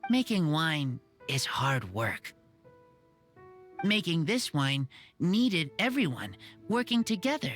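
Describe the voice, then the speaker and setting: A woman voicing an earnest young boy raised by wolves, speaking in halting, simple sentences in a gruff boyish tone for a video game. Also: low-pitched, sing-song, heavily acted. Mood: heartfelt, earnest. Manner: slow, halting, short plain sentences. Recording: music behind the voice.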